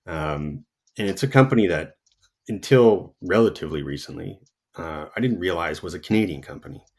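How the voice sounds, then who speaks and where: Chill podcast host guy, really low voice, easygoing and upbeat. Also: lively intonation, moderate pace, a little mellow, husky. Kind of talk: casual podcast interview.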